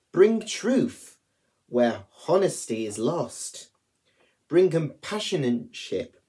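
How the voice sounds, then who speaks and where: Young male, prayer reader at online worship. Unhurried, deliberate phrasing, very varied intonation. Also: medium pitch, darkish tone. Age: young adult. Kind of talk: reading prayers aloud. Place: small attic room at home.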